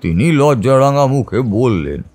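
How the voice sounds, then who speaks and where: A man narrating a literary first-person ghost story in a measured, dramatic storytelling manner. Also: medium pitch, expressive, a little mellow. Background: background music.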